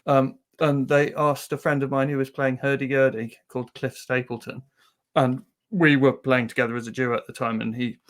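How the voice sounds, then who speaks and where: A male musician answers in a casual interview and tells his story in a relaxed, easygoing way. His voice sits at a middling pitch. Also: normal speed. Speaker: guest musician.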